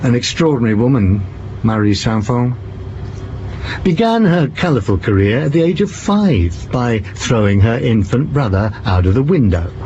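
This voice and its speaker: An actor playing a shrewd, theatrical French police commissioner in an audio drama, pompous and teasing as he deliberates. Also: mid-range voice, big pitch swings, warm tone, natural voice. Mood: ominous, deliberate. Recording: muffled, with background noise.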